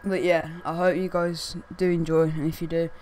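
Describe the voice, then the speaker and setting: Young guy with a croaky losing-it voice, kinda high, rambly with loads of "what's it called". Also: little pitch movement, moderate pace, darkish tone, a little hiss or hum, low-quality recording. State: hoarse. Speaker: gaming YouTuber. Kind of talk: casual chat to camera.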